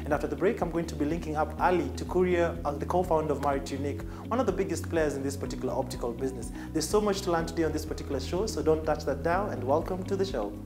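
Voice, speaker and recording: A Kenyan man hosting a business interview show, chatty and quick to laugh at his own jokes. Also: a little high. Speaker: show host. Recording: echoey room with music behind.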